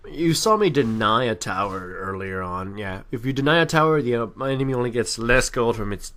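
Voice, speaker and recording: A man's voice: mid-range voice, expressive, a little fast, fairly bright tone. A man giving casual, off-the-cuff gameplay commentary, rambling and correcting himself in crude, slangy language. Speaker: gaming video commentator.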